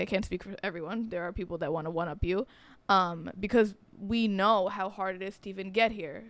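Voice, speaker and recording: Young female podcast co-host, earnest and conversational, crisp smooth voice, medium pitch. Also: dry recording. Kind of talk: casual podcast chat.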